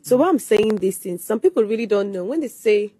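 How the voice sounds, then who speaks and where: A woman's voice: medium pitch, lively intonation, brisk, dark tone, breathy edge, dry recording. A confident, blunt woman from Owerri, Nigeria, speaking as a guest in a casual interview.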